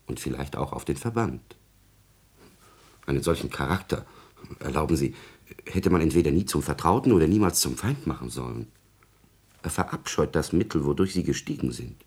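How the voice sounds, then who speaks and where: A scheming court secretary from a classical radio drama. The voice is very low and husky, with lively, swooping intonation. The delivery is obsequious and formal, always calculating and insinuating. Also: male voice, moderate pace, long pauses, heavily acted. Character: scheming, servile male court secretary plotting intrigue.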